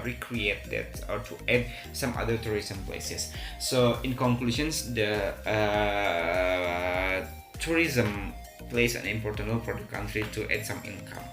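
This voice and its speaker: Indonesian man, hesitant IELTS practice talk. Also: lowish voice. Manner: hesitant, many "uh" fillers, informal and friendly. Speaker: English teacher. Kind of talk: IELTS speaking practice monologue. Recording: echoey room with faint music.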